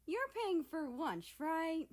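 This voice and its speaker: Lady reader doing a cocky playful young girl character for a story, high voice, swoopy and excitable. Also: slow. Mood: teasing. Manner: giggly, squealing, teasing. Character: playful, cocky young female country-person, pouty and gleeful.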